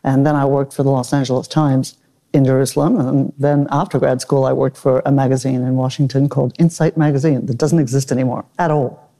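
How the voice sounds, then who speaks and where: A woman from Australia speaking as a panelist, giving measured, analytical commentary in a panel discussion. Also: very deep voice. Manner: measured, analytical, occasional animated emphasis.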